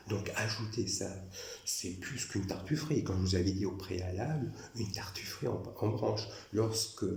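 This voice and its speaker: Low gravelly guy from france ranting to camera, keeps repeating himself, echoey room. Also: a little mellow, slight background noise. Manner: repetitive, insistent, restarts phrases. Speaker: former prison guard recounting grievances. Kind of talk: rambling monologue to camera.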